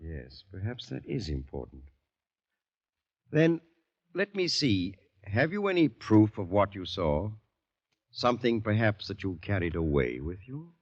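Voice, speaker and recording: Enigmatic confidant, low, swooping voice. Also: male voice, very animated, a little slow, dry recording, heavily acted. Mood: skeptical, probing. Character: measured, enigmatic male confidant who doubts and probes. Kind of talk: 1940s radio suspense acting. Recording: dry, dull 1940s radio recording.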